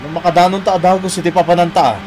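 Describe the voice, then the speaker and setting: A man's voice: high-pitched, level tone, rapid-fire, lightly acted. Brash Filipino husband in noisy car, male actor. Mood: impatient. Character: reckless wealthy husband driving, brash and impatient. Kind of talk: Ilocano radio drama acting. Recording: noisy car engine hum behind voice.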